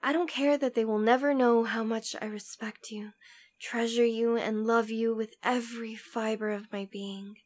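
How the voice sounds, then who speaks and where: A woman's voice: on the high side, steady tone, normal speed, natural voice. A woman playing a devoted, teasing demon queen in an ASMR roleplay, speaking intimately and tenderly, often dropping toward a whisper. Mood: tender, defiant. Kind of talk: ASMR roleplay audio drama.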